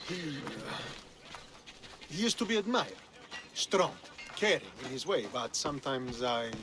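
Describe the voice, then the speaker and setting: An actor playing a proud, loyal Spartan officer who speaks formally in a video game cutscene. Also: male voice, mid-range voice, big pitch swings, measured pace. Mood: reverent, reflective. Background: music.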